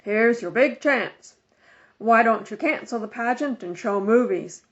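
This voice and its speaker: A woman's voice: on the high side, expressive, measured pace. Woman reading as reluctant, grumbling storybook dad. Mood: teasing. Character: reluctant, easygoing homebody father. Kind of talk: children's storybook read-aloud. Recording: dull lo-fi home recording.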